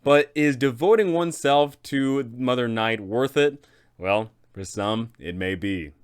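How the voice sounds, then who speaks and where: Man, mid-pitched, crisp clear voice, explanatory narration, tabletop guide host. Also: unhurried. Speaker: host of a game master guide. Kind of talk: semi-scripted explanatory narration.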